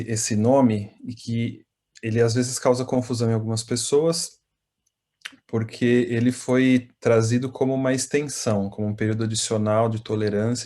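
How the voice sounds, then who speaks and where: A man from Brazil with a Brazilian Portuguese accent, presenting as a volunteer consultant at an online information session in a steady, explanatory way. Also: medium pitch. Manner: steady explanatory, frequent fillers.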